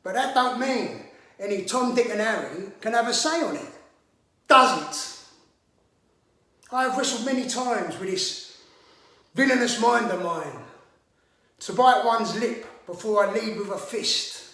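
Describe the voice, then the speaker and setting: A man's voice: high-pitched, lively intonation, unhurried, pauses often, bright, forceful tone, heavily acted. A man performing a theatrical monologue as a hot-tempered, swaggering brawler with mock-grand, sweary speech, in an echoey room. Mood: boastful, wry. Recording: echoey room, distant microphone.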